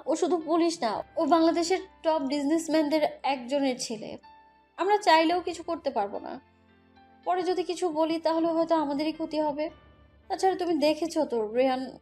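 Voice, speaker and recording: A woman's voice: sits high, normal speed, a little mellow, soft background music, lightly acted. A woman playing a helpless young mother in a romantic audio story, pleading and submissive. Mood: resigned, worried.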